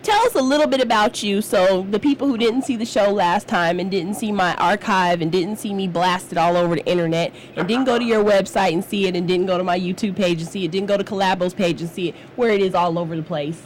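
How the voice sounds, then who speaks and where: A woman's voice: medium pitch, fairly quick, few pauses, slight background noise, low-quality recording. A woman hosting a live radio talk show, chatty and upbeat as she promotes events, often laughing. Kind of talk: casual live radio chat.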